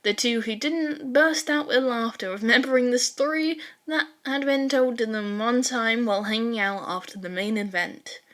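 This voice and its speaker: A woman with a fairly high voice narrates a story as an amateur audiobook. Her reading is expressive and animated, slipping into dramatic asides as she goes. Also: normal speed, few pauses. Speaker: fan fiction narrator.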